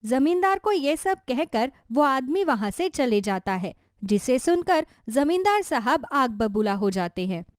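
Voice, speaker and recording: A woman's voice: sits high, big pitch swings, a little slow, few pauses, dry recording, studio quality. A woman reading scripted narration for a cartoon story.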